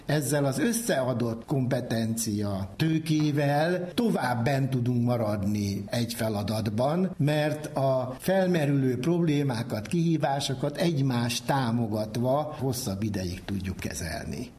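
A man's voice: mid-range voice, animated, hardly any pauses, darkish tone. A man giving an explanation in spoken, expository style. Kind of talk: explanatory talk.

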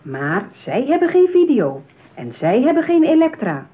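A businesslike saleswoman in a radio play, formal and coaxing. Her voice is fairly high and swings expressively. The recording is narrow and tinny, like a telephone line. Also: huge pitch swings, normal speed. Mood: persuasive, haughty. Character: persuasive, businesslike saleswoman at a marriage bureau.

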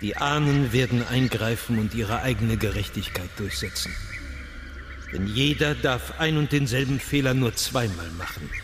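An actor playing a solemn village seer who gives stern, deliberate warnings in a radio drama. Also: male voice, mid-range voice, animated, close to an everyday voice. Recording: music playing behind the voice.

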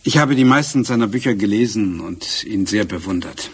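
An actor in a German radio play playing an urbane, courteous but persistent British police inspector with a measured, slightly hesitant manner. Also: male voice, fairly low pitch, animated, slightly rough. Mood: formal, insistent.

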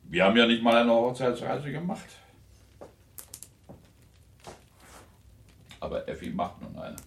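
A man's voice: medium pitch, lively intonation, fairly quick, roomy sound, slight background noise, lightly acted. An actor playing a genial, teasing older husband and father in a radio drama, musing in a wry, rambling way. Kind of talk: German radio drama acting.

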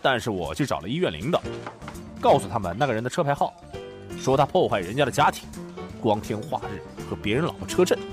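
A man's voice: medium pitch, very animated, quick pace, natural voice. A man playing a decent, forgiving and easily flustered dog owner, speaking earnestly in a Chinese audio drama. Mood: confiding, wry. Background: background music.

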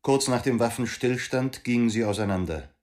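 An actor narrating a literary radio drama in a factual, measured and detached way. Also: male voice, medium pitch, a little mellow, close to an everyday voice. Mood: sober, detached. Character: sober male narrator of a boy's postwar childhood.

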